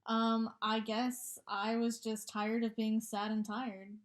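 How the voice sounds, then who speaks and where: Young woman co-host, kinda high voice, chill and jokey, very candid. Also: little pitch movement, takes it slowly. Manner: laid-back, joking, candid. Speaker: podcast co-host. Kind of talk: casual chat.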